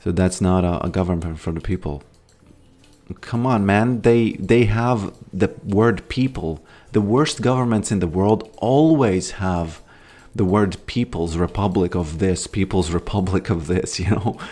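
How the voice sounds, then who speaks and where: A man's voice: sits low, unhurried, a little mellow. A man from Latvia chatting casually with his viewers while streaming a game, rambling and musing as he plays. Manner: rambling, musing, greets chat. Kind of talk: casual gaming livestream chat.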